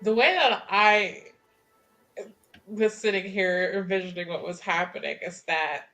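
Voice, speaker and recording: A woman's voice: medium pitch, unhurried, a bit bright, soft background music. A woman playing a tabletop game, talking casually in a wry way with some swearing. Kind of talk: casual, animated game chat.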